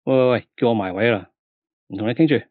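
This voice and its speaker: A man's voice: medium pitch, quick pace, no room sound, narrow, tinny audio, close to an everyday voice. A man with a Hong Kong Cantonese accent playing a flippant, bossy aging male film star in a radio drama. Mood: exasperated. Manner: exasperated, glib, bossy. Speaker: actor character on the phone.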